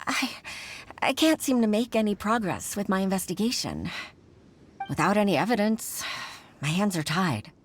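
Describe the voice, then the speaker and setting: An actress playing an earnest, troubled young junior official in a video game, speaking formally and with deference. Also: female voice, lowish voice.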